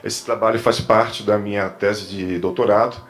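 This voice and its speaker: A man's voice: on the low side, fairly even intonation, moderate pace, a bit bright. A man with a Brazilian accent from Bahia gives an informal explanatory lecture as a therapist and trainer, rephrasing and repeating words as he goes. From Salvador, Brazil. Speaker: therapist and trainer giving a talk. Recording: clear background room noise.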